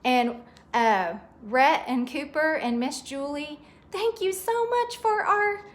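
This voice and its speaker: A woman's voice: high voice, expressive, takes it slowly. A woman reading a picture book aloud to young children, expressively, with chatty asides and questions to her listeners.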